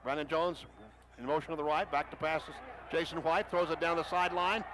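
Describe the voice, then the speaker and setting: A man calling a football game play by play for radio, rising in pitch and volume on big plays. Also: fairly even intonation, a little fast, fairly bright tone. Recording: noisy stadium broadcast.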